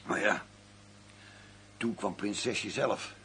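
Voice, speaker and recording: An actor in a radio drama playing a wry, world-weary undercover agent who poses as a gangster boss. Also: male voice, on the low side, slow pace, bright, forceful tone, a little hiss or hum.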